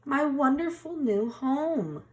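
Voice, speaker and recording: A woman voicing a kindly, reassuring male badger in a gentle way for a children's storybook. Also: high-pitched, lively intonation, slow pace, a little hiss or hum, pitched above the performer's own voice. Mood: pleased. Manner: gentle, comforting. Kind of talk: children's storybook read-aloud.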